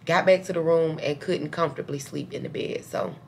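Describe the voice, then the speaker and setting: A woman's voice: low-pitched, a little fast, vocal fry, a little mellow, slight background noise. An older woman, worn out and chatting casually to her camera in a hotel room. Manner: chatty, says y'all a lot. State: tired. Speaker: vlogger. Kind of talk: casual vlog chat to camera.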